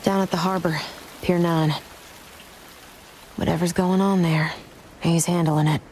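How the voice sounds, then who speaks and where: Actress as bold, lowish-voiced frontier woman. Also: steady tone, a little slow, a little mellow, a little hiss or hum, close to an everyday voice, poor audio. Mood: wry. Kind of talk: video game cutscene acting.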